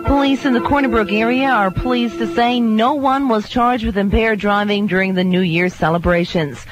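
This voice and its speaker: A woman's voice: a little high, moderate pace, faint music behind. A woman reading a scripted radio newscast. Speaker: radio news reader.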